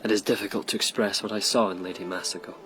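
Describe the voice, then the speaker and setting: A man's voice: lowish voice, steady tone, fast talker, fairly bright tone, faint music behind, close to an everyday voice, rough recording. An actor in a radio drama playing a proud nobleman of the old Japanese court, formal and questioning in manner. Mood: admiring, concerned.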